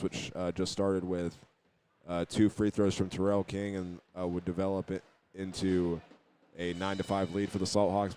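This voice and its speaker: A man working as a play-by-play announcer, recapping a game in radio commentary style and sometimes halting to correct himself. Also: low-pitched, mellow.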